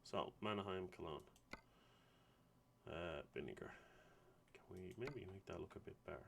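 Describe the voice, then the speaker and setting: A man's voice: low voice, unhurried, vocal fry. A man hosting a driving game video, rambling casually to himself while he plays, his voice still recovering from an illness. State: getting over an illness, voice not right. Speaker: gaming channel host. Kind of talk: casual gameplay commentary. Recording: distant mic with loud background noise.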